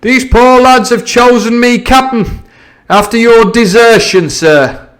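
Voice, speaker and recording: A man voicing a scheming one-legged pirate leader who talks loudly with false cheer. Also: very high-pitched, slow pace, voice pushed up, exaggerated voice. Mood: bargaining. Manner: loud, hearty and wheedling.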